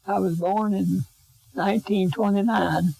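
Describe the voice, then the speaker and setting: A man's voice: high-pitched, steady tone, unhurried, vocal fry, mellow, rough recording. A man, recalling his early life in an oral history interview and repeating himself as he remembers. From western North Carolina. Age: 80s.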